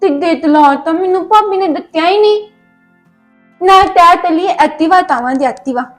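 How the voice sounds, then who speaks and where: A sulky, lisping grown woman who still acts like a small child, played by a woman. She speaks with a Punjabi accent in a high, babyish voice. Faint music plays underneath. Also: moderate pace. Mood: whiny. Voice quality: lisping baby-talk speech. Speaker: married younger sister. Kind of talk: comic family skit acting.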